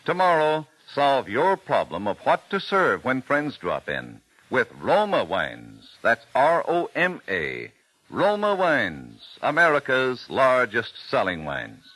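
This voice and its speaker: A man's voice: mid-range voice, wildly swooping pitch, slow, a bit bright, poor audio. A man announcing a sponsor's message in polished, emphatic 1940s radio advertising style.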